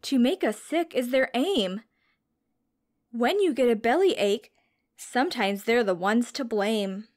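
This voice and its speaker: A woman's voice: fairly high pitch, animated, measured pace. A woman reading a storybook aloud to young children as a teacher, expressively, with simple questions and explanations.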